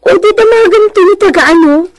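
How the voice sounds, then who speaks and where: An actress with a Filipino Ilocano accent playing a teasing, playful young friend in a radio drama. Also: female voice, extremely high pitch, a little fast. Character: teasing, giggly young woman, boarding-house friend.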